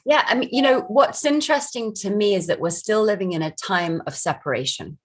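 A woman's voice: mid-range voice, lively intonation, a little fast, breathy edge. A woman from Barbados, a film director, speaking earnestly and reflectively as an interview guest over a video call. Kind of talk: interview conversation.

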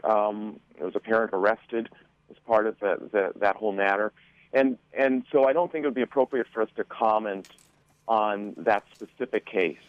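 Man, interview guest, lowish voice, careful, diplomatic, hesitant, very dry studio sound. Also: normal speed, smooth, dry recording, highs cut off. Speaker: school board member, interview guest. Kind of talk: measured interview answers.

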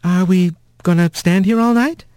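A man's voice: high voice, moderate pace, darkish tone, clean tone, dry recording, clean studio sound, voice pushed up, close to an everyday voice. A man voicing a kind young female mouse who serves on the town council, polite and earnest.

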